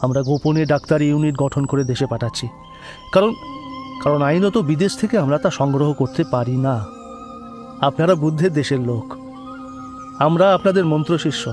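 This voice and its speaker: A man's voice: fairly high pitch, fairly quick, long pauses, darkish tone, soft background music, natural voice. A man playing an earnest, secretive foreign government agent in an audio drama, speaking formally and politely with instructions. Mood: earnest, pleading. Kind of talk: dramatized audio story dialogue.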